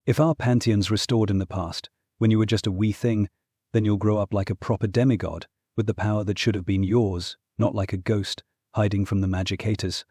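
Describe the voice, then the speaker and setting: Scheming ex-god uncle, acted by a man: imperious, persuasive, dark-toned and husky, hungry for old glory, fantasy audiobook. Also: on the low side, fairly even intonation, a little fast, no room sound, clean studio sound. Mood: scornful, insistent. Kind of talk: full-cast fantasy audiobook acting.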